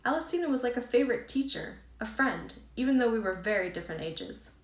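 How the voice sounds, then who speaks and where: A woman's voice: on the high side, lively intonation, fast, lightly acted. A woman voicing a wistful, nervous young woman in a storybook, hesitant and earnest. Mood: earnest, troubled. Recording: roomy echo, distant from mic.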